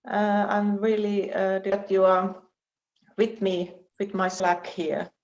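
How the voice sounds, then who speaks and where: A woman with a Finnish accent giving an academic presentation as a research professor over an online call, speaking haltingly with repeated words. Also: mid-range voice, level tone, a little mellow. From Finland. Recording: dull, muffled online call audio.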